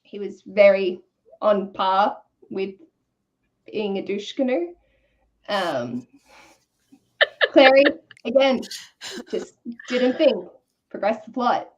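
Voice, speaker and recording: A woman's voice: medium pitch, lively intonation, slow, pauses often. A woman with a mid-range voice talks casually as a co-host in an online book chat. Her manner is candid and slangy. The audio is slightly dull and lo-fi, like a video call.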